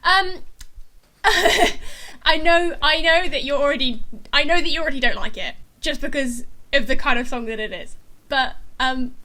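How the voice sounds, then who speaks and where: A woman's voice: high voice, moderate pace, bright, forceful tone. A woman co-hosting a music show, talking casually and enthusiastically about songs.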